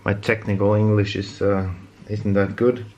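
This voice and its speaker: A Norwegian man speaking English as a second language, talking casually while working on a repair, with halting speech full of restarts and fillers. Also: fairly low pitch, little pitch movement, dark tone, muffled recording. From Norway. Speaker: DIY repair vlogger.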